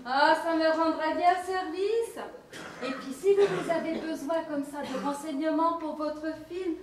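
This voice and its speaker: A woman's voice: very high-pitched, steady tone, measured pace. An actress playing a bumbling rookie policewoman who talks to herself and reads aloud from a manual, in a film comedy. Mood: flustered. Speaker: police officer. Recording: echoey room, far mic, hiss.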